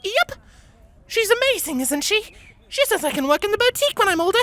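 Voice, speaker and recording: A woman voicing an excitable, adoring little unicorn filly with a squeaky, eager voice for a story. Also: fairly quick, heavily acted. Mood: gushing, excited. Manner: gushing, breathlessly eager.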